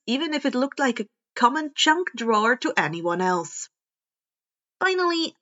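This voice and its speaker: High-pitched woman narrating a story. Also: a bit bright, lo-fi sound. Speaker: podfic narrator.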